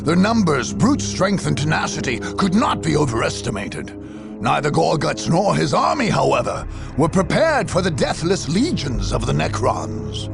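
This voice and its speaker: A man narrates a war game in a dramatic, deliberate style. His somewhat high voice carries a gravelly creak and moves in wide, theatrical swings. The delivery is grave and scripted. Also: wildly swooping pitch, music in the background.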